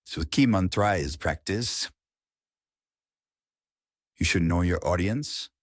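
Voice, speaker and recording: A man with an Indian accent giving an informal instructional talk in an earnest, halting way, repeating words for emphasis. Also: sits low, lively intonation, dry recording, studio quality. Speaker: public speaking coach.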